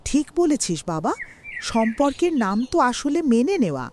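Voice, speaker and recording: Cartoon village mother-in-law, actress: older woman, gentle, sentimental, moralizing, animated pitch, birds and faint noise behind. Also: moderate pace. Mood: heartfelt, moralizing. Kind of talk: cartoon voice acting.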